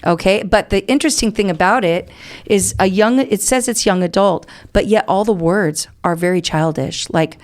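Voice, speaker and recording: A woman's voice: mid-range voice, moderate pace. A middle-aged American woman answering as an interview guest, explaining things earnestly in a conversational way.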